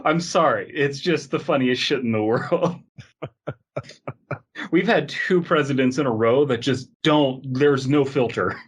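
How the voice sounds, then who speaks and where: A man's voice: mid-range voice, measured pace, husky. A man co-hosting a comedy podcast, riffing jokily in casual conversation. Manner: joking, riffing with mock quotes. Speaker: podcast co-host.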